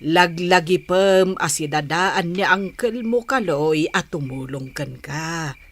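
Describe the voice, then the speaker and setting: A woman's voice: lowish voice, slow. A woman playing a patient, caring mother in an audio drama, with an Ilocano Filipino accent. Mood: advising. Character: patient, caring Filipino mother advising her grown son.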